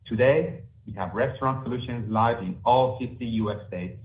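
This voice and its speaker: A man's voice: medium pitch, normal speed. Formal male executive, roomy phone-like line. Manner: formal, reading prepared remarks. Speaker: company executive. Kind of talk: scripted earnings call presentation. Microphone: conference call line.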